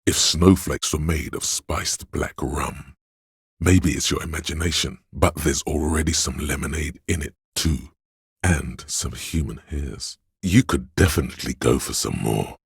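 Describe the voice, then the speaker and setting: A man's voice: a bass voice, unhurried, vocal fry, husky, dry recording, studio quality, exaggerated voice. A man voicing the seductive inner voice of addiction in a video game, goading and coaxing as he savours his words. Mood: savouring, seductive. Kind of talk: scripted video game voice acting.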